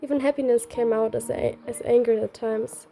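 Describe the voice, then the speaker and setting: A woman's voice: fairly high pitch, steady tone, moderate pace, creaky, warm tone. A woman voicing an earnest young heroine who lightly teases her childhood friend in romance game dialogue. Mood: teasing. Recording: background music.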